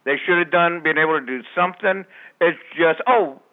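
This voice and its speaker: A man reviewing a movie in casual radio chat, blunt and joking, speaking in halting restarts. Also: a little high, narrow, tinny audio. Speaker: movie critic.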